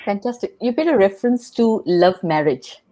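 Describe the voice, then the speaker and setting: A woman hosting an interview show, opening with a scripted introduction and then asking her guest measured, earnest questions. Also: medium pitch, expressive, dark tone. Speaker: podcast host.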